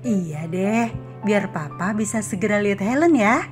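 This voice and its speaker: A woman playing a gentle, sorrowful mother in an animated horror story. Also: medium pitch, unhurried, soft background music, lightly acted. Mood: reassuring.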